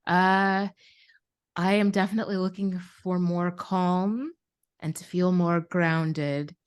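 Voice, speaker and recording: A woman with a slightly low voice talks as a podcast co-host. Her style is conversational and reflective, like one friend thinking aloud with another. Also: steady tone, takes it slowly, smooth. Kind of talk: casual, reflective podcast chat.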